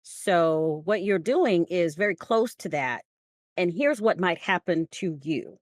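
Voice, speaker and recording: Low-voiced American woman, emphatic podcast talker. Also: lively intonation, slow pace, no echo, clean studio sound. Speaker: podcast co-host. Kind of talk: conversational discussion.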